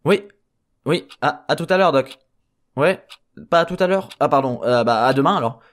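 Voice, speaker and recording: A man's voice: on the high side, lively intonation, moderate pace, fairly bright tone. Flustered, rambling man playing a henchman. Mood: flustered, defensive. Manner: rambling, hesitant, exasperated. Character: flustered, sarcastic, foul-mouthed henchman clone. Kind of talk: comedic audio drama acting.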